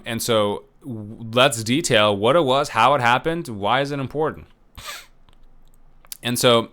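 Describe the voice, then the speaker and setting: Bright-voiced male professor, chatty and filler-laden. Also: medium pitch, smooth. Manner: loose, conversational, many fillers and restarts; unsure about names, self-correcting. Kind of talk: recorded lecture.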